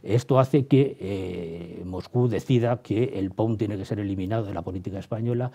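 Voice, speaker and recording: A man from explaining history as an interviewed professor, halting as he searches for words and checks with tag questions. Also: on the low side, gravelly, warm tone.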